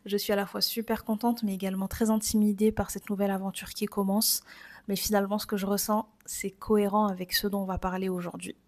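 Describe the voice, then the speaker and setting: A woman's voice: medium pitch, flat delivery, fast. A woman in her twenties with a French accent from France, hosting a personal, reflective podcast in an informal, confiding, conversational way. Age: 20s. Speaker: podcast host.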